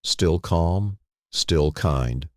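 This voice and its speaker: A man narrating a scripted dramatic story in a solemn manner, in short phrases with pauses. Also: deep voice, a little mellow, no echo, studio quality.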